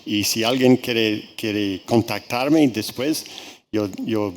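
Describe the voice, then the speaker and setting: Slow-talking male conference speaker. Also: lowish voice. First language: English. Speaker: guest speaker, agriculture researcher. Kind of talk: conference presentation.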